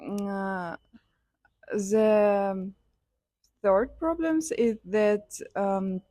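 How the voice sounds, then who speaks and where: Young kazakh woman, slow and unsure, lots of uhs, dry close sound. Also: medium pitch, dark tone, dry recording. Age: young adult. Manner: hesitant, many fillers, simple non-native English. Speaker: interviewee, student. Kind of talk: street interview answers.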